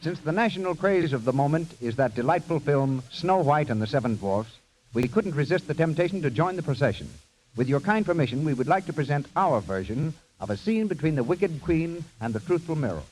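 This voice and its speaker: A man's voice: fairly high pitch, lively intonation, brisk, darkish tone, rough recording. A man announcing in formal, polished, scripted 1930s radio style. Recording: hissy vintage 1930s radio recording.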